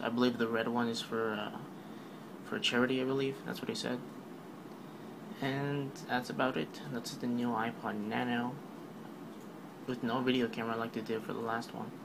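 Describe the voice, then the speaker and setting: Offhand man recapping a keynote, flat voice. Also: mid-range voice, background noise. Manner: offhand, rambling, filler-heavy. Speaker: tech video narrator. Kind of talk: casual voice-over recap of a keynote.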